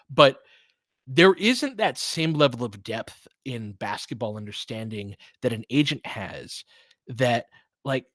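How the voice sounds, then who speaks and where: A man's voice: medium pitch, a bit bright, dry recording. A man giving casual, opinionated basketball commentary as a podcast host, emphatic and conversational, often checking in with rhetorical questions.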